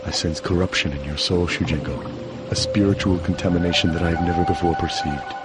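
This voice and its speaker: A male actor playing a stern, solemn shaman warrior in a fighting game, grave, measured and formal. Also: low voice, steady tone, loud music behind the voice, natural voice. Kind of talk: fighting game cutscene acting.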